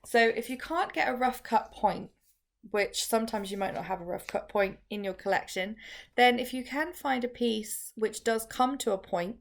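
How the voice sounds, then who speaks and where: Chatty woman with a gravelly voice. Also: fairly high pitch, normal speed. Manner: chatty, filler-heavy explaining with frequent restarts. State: croaky voice. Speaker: crystal workshop teacher. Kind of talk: casual how-to video.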